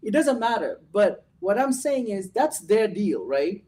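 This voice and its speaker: Motivational host, set back from mic. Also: male voice, high-pitched, very animated, unhurried, dark tone, far from the mic. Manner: motivational, repeats "right?". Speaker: show host. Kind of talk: casual chat.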